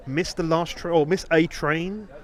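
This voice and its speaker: A man's voice: fairly high pitch, fairly even intonation, unhurried. A British man chatting casually as a podcast host, in a rambling, stop-start way. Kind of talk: casual chat.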